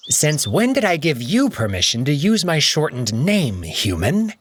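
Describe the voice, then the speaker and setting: A man's voice: fairly high pitch, wildly swooping pitch, a little slow, slight background noise, voice pushed up. A man voicing a haughty, sour male wolf noble who speaks in a clipped, condescending way for a voiced visual novel.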